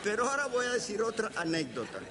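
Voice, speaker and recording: An older man with a Caribbean Spanish accent gives an anecdotal lecture as a professor, deliberate, pausing and playfully humorous. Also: high-pitched, very animated, crowd noise. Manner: deliberate, with pauses and playful humour. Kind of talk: anecdotal academic lecture to an audience. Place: lecture hall. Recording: reverberant hall, background hiss.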